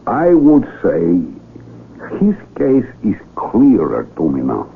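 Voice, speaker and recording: A man playing a resentful, self-important village doctor in a radio drama, with a gravelly voice and emphatic, deliberate delivery. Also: fairly low pitch, wildly swooping pitch, full character voice. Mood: pompous, clinical. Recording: dull, hissy 1970s radio recording.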